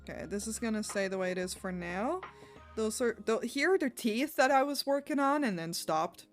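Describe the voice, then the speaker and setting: A woman's voice: fairly high pitch, normal speed, soft background music. A woman, chatting casually on a livestream while she works, laughing often and swearing offhand. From Netherlands. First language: Dutch. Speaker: streamer.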